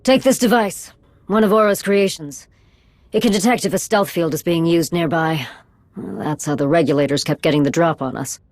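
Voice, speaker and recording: A woman playing a devoted, steadfast fugitive in a video game, speaking in a measured, earnest way. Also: low voice, a little mellow, dry recording, close to an everyday voice. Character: steadfast, devoted female fugitive, soothing and resolute.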